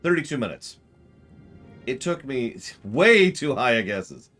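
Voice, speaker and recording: A man's voice: medium pitch, very animated, measured pace, fairly bright tone, soft background music. A man streaming a video game with casual, wry and emphatic commentary. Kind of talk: casual live gaming commentary.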